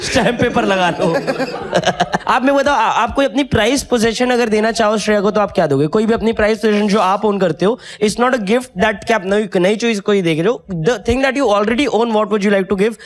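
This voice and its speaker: A man's voice: high-pitched, moderate pace. A man hosting a comedy dating show, teasing contestants and laughing through his lines. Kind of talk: joking banter with contestants. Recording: loud background noise.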